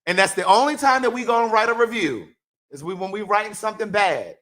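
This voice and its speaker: A man co-hosting a talk show in expressive, teasing casual banter. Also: high-pitched, fast.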